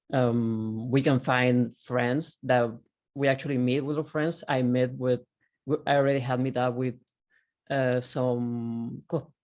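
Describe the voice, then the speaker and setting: A man's voice: mid-range voice, darkish tone. A man from Argentina with an Argentine Spanish accent, a native Spanish speaker, talking casually as a panelist with many fillers and restarts.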